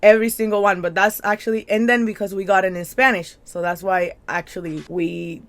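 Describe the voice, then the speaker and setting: A woman's voice: mid-range voice, steady tone, a little fast, fairly bright tone. A woman hosting a book channel, interviewing an author in an eager, upbeat way. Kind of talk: casual interview.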